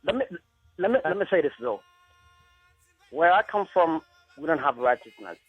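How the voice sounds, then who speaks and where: A man from South Sudan phones in to a talk show, earnest and circling back over his points. His voice is husky and comes through a dull, lo-fi line. Faint music sits behind him. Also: on the high side, very animated, moderate pace, no room sound. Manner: earnest, repeats himself, many "you know what I mean". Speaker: caller. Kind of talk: phoned-in radio call.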